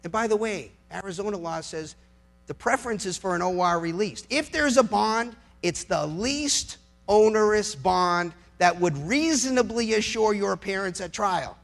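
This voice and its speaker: A man's voice: high-pitched, expressive, moderate pace, creaky, fairly bright tone. An American man, a lawyer, giving an impassioned public speech, emphatic and sardonic, using rhetorical questions and repeating phrases for effect.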